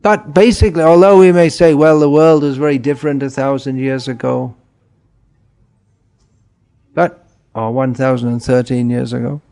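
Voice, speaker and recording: A middle-aged man giving an informal spiritual talk in a gentle way, chuckling and putting questions to his listeners. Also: mid-range voice. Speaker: spiritual teacher.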